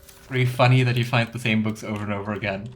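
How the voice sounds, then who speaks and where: A man's voice: fairly low pitch, fairly even intonation, quick pace. Chatty man streaming over game music. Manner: chatty, reads game text aloud. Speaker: let's play streamer. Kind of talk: casual game commentary.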